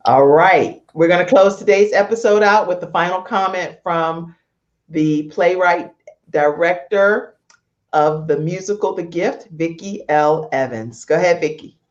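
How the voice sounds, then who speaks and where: A woman hosting a talk show in an animated, conversational style, effusive and emphatic, repeating phrases for emphasis. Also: on the low side, unhurried, lo-fi sound.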